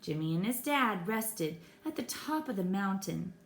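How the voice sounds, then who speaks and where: A woman reading a children's picture book aloud expressively, pausing to explain the pictures to her listeners. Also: medium pitch, animated. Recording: echoey room.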